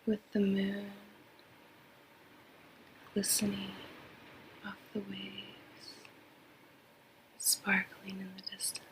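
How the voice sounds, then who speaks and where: Woman, very slow guided sleep meditation, breathy half-whisper, soothing, long pauses, faint background ambience. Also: medium pitch, completely flat delivery, a little hiss or hum, rough recording.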